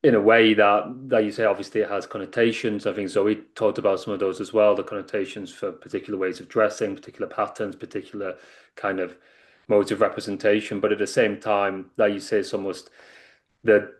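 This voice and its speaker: A man moderates a panel talk in a fairly low voice. He asks long, thoughtful questions that wind through several qualifications. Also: level tone, brisk. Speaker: philosophy lecturer, moderator.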